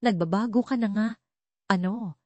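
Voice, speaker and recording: A woman's voice: mid-range voice, moderate pace, warm tone, dry recording, voice pushed up, natural voice. A woman voicing a blunt male office colleague in an audio drama. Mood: reproachful. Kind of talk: audio drama storybook reading.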